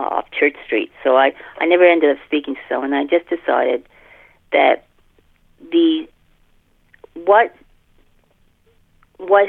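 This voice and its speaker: A middle-aged woman talking casually in a podcast interview as an author, halting and often repeating and rephrasing her phrases. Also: low-pitched, gravelly. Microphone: telephone. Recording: muffled, tinny telephone line.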